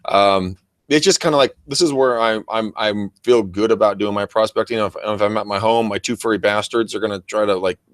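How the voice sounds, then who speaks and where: A man with an American accent and a very loud voice chatting casually as a talk show co-host, enthusiastic, full of bro slang and swearing. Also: on the low side, fairly quick, dry recording, studio quality. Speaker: co-host of a real estate training show and agent. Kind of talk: casual unscripted show chat with shout-outs. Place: private office.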